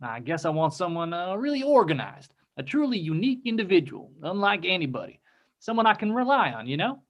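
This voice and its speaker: A man's voice: sits high, very animated, brisk, fairly bright tone. A man playing a polite, flirty cowboy in his thirties with a Southern US accent, in a comedy table read over video call. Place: indoor room on a video call.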